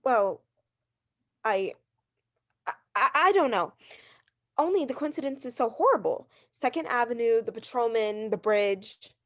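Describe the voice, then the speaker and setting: A high-pitched, fretful woman, played by an actress, as a bedridden wife in a 1940s-style radio thriller. She is neurotic and imperious, pressing her points insistently and stammering when challenged. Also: expressive, measured pace, long pauses, a bit bright. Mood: rattled, fearful. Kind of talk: 1940s radio drama acting.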